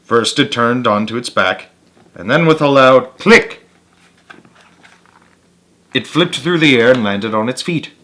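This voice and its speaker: A man narrating as a storyteller, reading aloud for children in an animated style. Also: mid-range voice, a little slow, pauses often. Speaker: storyteller, channel host.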